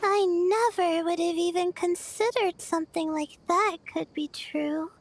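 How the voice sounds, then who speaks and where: A woman's voice: extremely high pitch, a little slow. A woman voicing a timid, self-doubting young female student in an anime-style game, hesitant, bashful and earnest. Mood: wondering.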